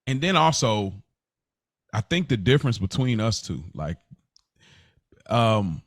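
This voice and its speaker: An American man in his thirties co-hosting a podcast in casual, slangy talk, telling things in an animated way and repeating words for emphasis. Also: mid-range voice, measured pace, dry recording, clean studio sound. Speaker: podcast co-host. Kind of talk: casual unscripted podcast chat.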